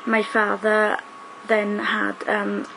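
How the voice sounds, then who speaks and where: A woman's voice: medium pitch, completely flat delivery, slow, vocal fry, background noise. A young woman, chatting casually to her webcam, full of ums and likes. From South Wales. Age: young adult. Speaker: vlogger. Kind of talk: casual talking-to-camera vlog. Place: small room indoors. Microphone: webcam at close range.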